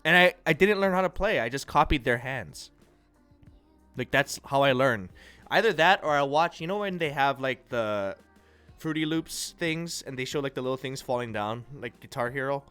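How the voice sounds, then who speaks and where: A congested, nasal-sounding man from the United States hosting a livestream, chatting casually and rambling through viewers' comments with a relaxed, self-deprecating manner. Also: medium pitch, normal speed, smooth, no echo, faint music behind. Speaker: livestream host. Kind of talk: casual chat reading and answering viewer chat.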